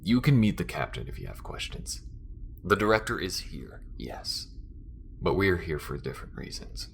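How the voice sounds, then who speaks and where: A man playing a gruff, dry, impatient space smuggler in an audio roleplay, guarded and a little hesitant. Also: deep voice, sing-song, moderate pace, a bit bright, a little hiss or hum, full character voice. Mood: brusque, impatient.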